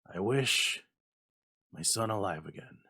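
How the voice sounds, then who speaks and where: A man's voice: fairly low pitch, expressive, takes it slowly, pushed and bright, husky, full character voice. A man plays a trembling old husband in a Gothic horror story. His voice is shaky and faltering, full of fear and pleading. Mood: faltering, reluctant. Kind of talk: dramatic Gothic story reading.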